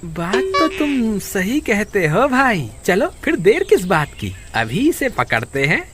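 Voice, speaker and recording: A man plays a hapless village crook with a very high, fretful voice. He whines, scolds and pleads like a cartoon sidekick in a moral tale, over faint background noise. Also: wildly swooping pitch, takes it slowly, fairly bright tone, full character voice. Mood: scornful, bossy. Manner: whiny, exclaiming, pleading. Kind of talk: Hindi moral-story cartoon voice acting.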